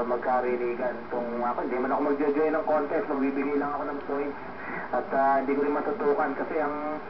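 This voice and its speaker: A man working an amateur radio station, chatting casually and filling his talk with hesitations and radio call phrases. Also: medium pitch. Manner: chatty, with many fillers and radio sign-offs. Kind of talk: casual ham radio contact. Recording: lo-fi echoey radio audio with loud static.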